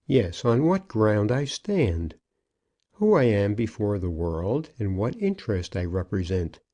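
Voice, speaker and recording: A man's voice: on the low side, very animated, measured pace, warm tone. A man voicing a pompous, earnest junior business partner, formal and insistent, in an audiobook. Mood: earnest, demanding. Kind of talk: audiobook character acting.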